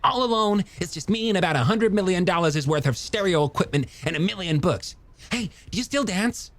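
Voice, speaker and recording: A man voicing an eager, chatty, self-deprecating younger man in an audiobook, with halting, animated delivery. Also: sits high, pitched above the performer's own voice. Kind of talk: audiobook character dialogue.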